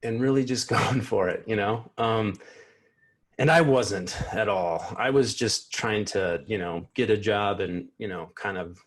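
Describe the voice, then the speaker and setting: A man in his thirties who stutters gives a personal keynote talk over a video call from a small room at home, slow, earnest and reflective, often restarting his words. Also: on the low side. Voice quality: stutters, with repeated sounds and blocks. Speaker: keynote speaker. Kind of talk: personal talk at an online convention. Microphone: earphone mic on a video call.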